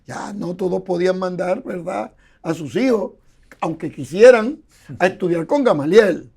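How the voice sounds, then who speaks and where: A man's voice: sits high, measured pace, vocal fry, darkish tone, slightly rough. An older man explaining scholarly points at length in a conversational interview, often repeating words and building his points with examples. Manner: explanatory, lecturing with examples and repetitions. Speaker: guest, Bible scholar.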